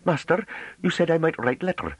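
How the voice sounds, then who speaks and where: A man's voice: fairly high pitch, lively intonation, a little fast, husky, no room sound, voice pushed up. A man voicing a young unschooled farm woman who speaks in broken dialect, bashful but fierce. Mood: earnest. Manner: broken dialect speech, earnest and pleading.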